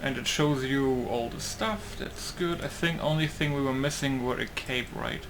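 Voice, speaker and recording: A man giving a casual let's play commentary, thinking aloud in a halting way. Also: mid-range voice. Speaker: gaming YouTuber. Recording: clear game noise behind. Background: video game ambience.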